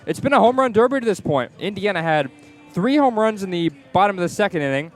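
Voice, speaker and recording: A man's voice: high voice, lively intonation, fast talker, faint music behind. A man calling live baseball play-by-play on the radio from the ballpark. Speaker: play-by-play sports announcer. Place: outdoors at a baseball stadium.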